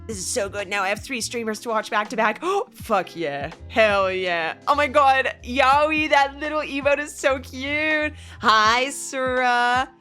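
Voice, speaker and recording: A woman's voice: high-pitched, a little slow, hardly any pauses, sharp tone, faint music behind. A young woman streaming from home, chatting casually with viewers, greeting them by name and often breaking into giggles. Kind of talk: casual chat with live viewers. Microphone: desk mic, loud.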